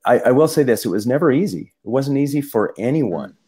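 A man co-hosting a casual discussion, talking in halting bursts with many restarts and fillers. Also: mid-range voice, lively intonation, dark tone, breathy edge. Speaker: podcast co-host.

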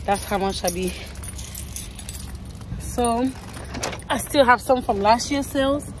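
A young woman vlogging casually into a handheld phone camera, chatty and animated, filmed in a car at night and later at home. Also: fairly high pitch, slow. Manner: chatty and animated, lots of "like" and "you guys". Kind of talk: casual vlog chat. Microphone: phone camera held close. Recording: noisy phone recording with car noise.

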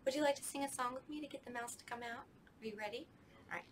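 A woman's voice: high-pitched, racing, slight background noise. A young woman, a children's librarian, leading a storytime with songs, talking to kids at home in a sing-song way in a library children's room.

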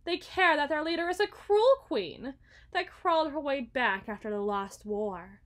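Woman doing a proud jaded evil queen for an asmr roleplay, kinda high voice, very formal n theatrical, pauses for drama. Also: expressive, a bit bright. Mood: proud, stern. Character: haughty, battle-hardened evil queen, coolly devoted and weary. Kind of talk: ASMR roleplay monologue, scripted.

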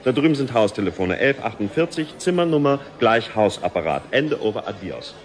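An actor playing a gruff, impatient hotel porter with a curt, mocking manner in a German sci-fi radio play. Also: male voice, lowish voice, big pitch swings, brisk. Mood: exasperated, sarcastic. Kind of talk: German science-fiction radio play acting.

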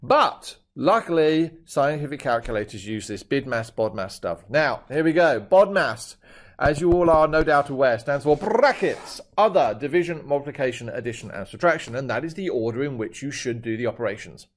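A man's voice: mid-range voice, animated, moderate pace. A man in his fifties with a British accent that is drifting toward Australian, teaching a maths lesson over a screen recording with jokey, chatty asides. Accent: British, shifting toward Australian. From Britain.

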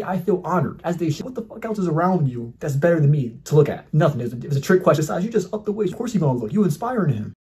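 Fast-talking brash young man. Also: fairly high pitch, dark tone. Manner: brash, boastful, mocking. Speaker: commentary YouTuber. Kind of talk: casual reaction commentary. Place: small indoor room, plain wall.

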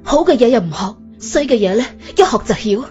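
A woman's voice: on the high side, animated, fairly quick, a little mellow, dull audio, soft background music, natural voice. A woman playing an anxious, short-tempered young mother in a scripted audio drama. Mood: furious scolding.

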